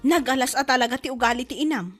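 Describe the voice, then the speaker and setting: Woman, Ilocano accent, high-pitched, fed-up wife venting in a comedy drama. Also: rapid-fire, loud music behind the voice. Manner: exasperated, complaining, rising exclamations.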